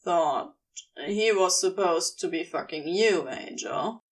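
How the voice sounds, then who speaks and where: A woman's voice: on the low side, takes it slowly, pitched below the performer's own voice, poor audio. Woman as a grumbling, sardonic, nervous husband. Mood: grumbling, wry.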